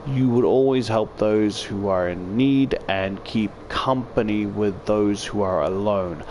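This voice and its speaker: A man voicing a shy, earnest female transfer student in a visual novel, reading her letter haltingly and sincerely. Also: lowish voice, a little mellow, slight background noise. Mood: earnest, confessional.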